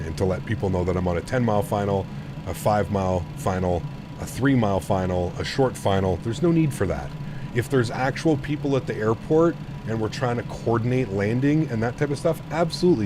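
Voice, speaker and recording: Guy with a super deep voice on a flight sim stream, explains himself to chat at length, stumbles and restarts a lot, a little background hum. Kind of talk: casual live-stream chat.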